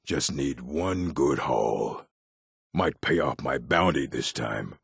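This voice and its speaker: A weary orc outlaw from a fantasy game, muttering to himself. The voice is very deep, creaky and close, with a slow, brooding delivery. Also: male voice, expressive, no room sound, exaggerated voice. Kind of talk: video game character acting.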